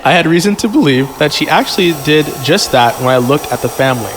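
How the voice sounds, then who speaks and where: A man's voice: fairly high pitch, expressive, fast, in a large hall, noisy background. A man chatting and reading a story aloud casually as a podcast guest, joking and digressing into asides. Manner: joking, digressive, repeats himself.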